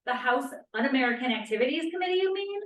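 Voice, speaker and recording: A woman acts out a sarcastic, alarmist young scientist in a period stage play. Her pitch is a bit high and swings widely. The room echoes around her. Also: expressive, fast talker. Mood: accusing, alarmed. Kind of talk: 1950s period drama read at a table read. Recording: echoey room, distant microphone.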